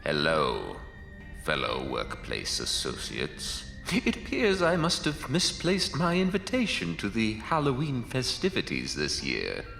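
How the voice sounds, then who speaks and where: A politely sinister undead gentleman from a horror comedy audio play. He speaks very low with a gravelly creak, stiffly formal and deliberate, as music plays behind him. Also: huge pitch swings, exaggerated voice. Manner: stilted, overly formal, slow and deliberate. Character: formal, courteous, sinister undead male colleague. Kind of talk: campy horror audio drama.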